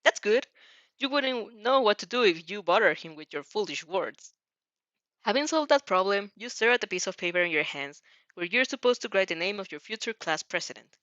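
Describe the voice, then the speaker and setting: A woman's voice: medium pitch, expressive, fairly quick, a bit bright, dry recording, lo-fi sound. A woman narrating fan fiction expressively as an amateur reader, sometimes stumbling over words.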